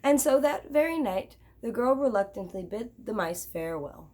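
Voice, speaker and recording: A woman's voice: on the high side, animated, normal speed, dark tone. A woman teacher reading a children's picture book aloud expressively, with chatty asides to her listeners.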